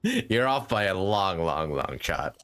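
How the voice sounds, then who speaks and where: Extremely animated brash male streamer. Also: low voice, slow. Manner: brash, sweary, mock-indignant. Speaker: live streamer. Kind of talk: casual game livestream reactions.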